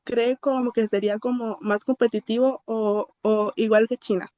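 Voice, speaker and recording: Halting young-sounding woman, dry sound. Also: fairly high pitch, steady tone, warm tone, dry recording. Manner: halting, stammering, many fillers. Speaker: audience member asking a question. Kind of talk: question in a Q&A.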